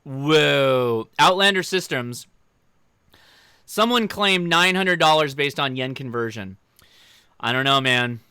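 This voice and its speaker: Male American livestream host, crisp and bright delivery, informal and chatty, speaking straight to viewers. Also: medium pitch, lively intonation, takes it slowly, pauses often, no echo. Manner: informal, joking, addresses viewers directly. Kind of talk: casual livestream chat, answering viewer questions.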